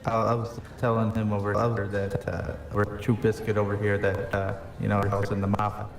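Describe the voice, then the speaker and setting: A man's voice: on the low side, completely flat delivery, brisk, vocal fry, reverberant, far from the mic, noisy background. A man improvising a young male mafia hitman with a New York accent, rambling and hesitant as he tells his backstory over voice chat. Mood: boastful. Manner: rambling, hesitant, full of "you know". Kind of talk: improvised character in a game voice chat.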